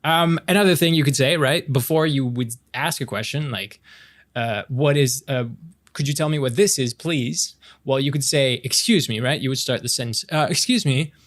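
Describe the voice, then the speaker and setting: A man acts out a friendly, playful young French Canadian guy in an intimate romantic audio roleplay. He tutors a close friend casually, in a halting, encouraging way with drawn-out demonstrations. His pitch sits in the middle of the male range. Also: a bit bright, natural voice.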